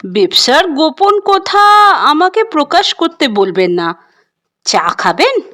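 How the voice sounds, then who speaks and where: A woman's voice: high-pitched, very animated, slow, pushed and bright, full character voice. A woman playing a poised, guarded courtesan singer in an audio drama, articulate and restrained. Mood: evasive, polite.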